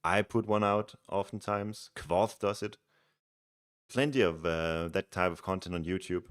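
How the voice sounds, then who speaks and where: A Danish-accented man with a deep voice talks loosely through a live stream, explaining strategy as he plays. He is relaxed and chatty and often restarts his words. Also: measured pace. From Denmark. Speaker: streamer and game coach. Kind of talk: casual live-stream chat and game commentary.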